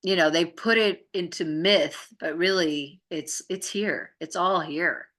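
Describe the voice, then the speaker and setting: A woman's voice: lowish voice, slow pace, fairly bright tone. A middle-aged woman co-hosting a casual spiritual chat, explaining things earnestly. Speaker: co-host and healing arts practitioner.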